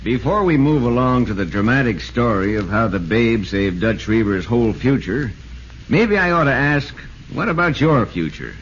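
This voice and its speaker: An actor playing a chummy sports reporter who narrates in 1940s radio style. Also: male voice, medium pitch, lively intonation, normal speed, close to an everyday voice. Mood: earnest, persuasive. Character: chummy sports reporter, storytelling pal of a ballplayer. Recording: dull, lo-fi old radio, faint noise.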